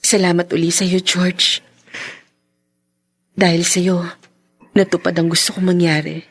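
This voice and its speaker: A woman's voice: on the low side, level tone, measured pace, breathy edge. An actress playing a wronged, emotional young woman in a Filipino radio drama. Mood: subdued, resolved. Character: wronged young woman, bitter yet grateful, a former bar worker.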